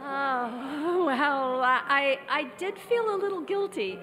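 A woman's voice: sits high, expressive, slow pace, crowd noise. A woman playing an eager, sweet young woman in old-time radio drama style, with a perky manner. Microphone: stand microphone on stage. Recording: live theater stage, long reverb, music behind.

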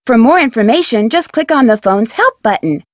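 High, lively young female detective acted by a woman. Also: normal speed, no echo, clean studio sound, close to an everyday voice. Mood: chipper, instructive. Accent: American. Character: friendly, inquisitive young female amateur detective. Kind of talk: scripted video game voice lines and tutorial narration.

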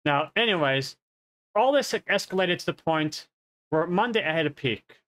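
Streamer, male, 30s, nasal and congested, fairly high voice, talks casually and rambles on a headset mic. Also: animated, moderate pace, a bit bright. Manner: rambling, self-correcting. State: congested, coughing. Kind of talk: casual health update. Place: home streaming room.

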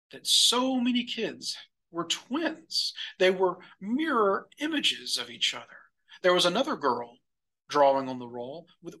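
A man narrating a children's picture book for storytime in a deliberate manner. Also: sits high, bright, forceful tone, husky, poor audio. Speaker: storybook reader.

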